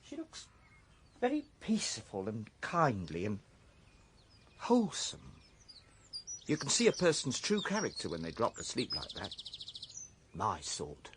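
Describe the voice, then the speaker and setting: An actor playing a mild, whimsical middle-aged runaway shopkeeper in a radio drama, with an English accent and a hesitant, playful way with fanciful words. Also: male voice, on the low side, wildly swooping pitch, measured pace, long pauses, a bit bright, slight background noise, heavily acted. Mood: soft, musing. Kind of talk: naturalistic radio drama acting.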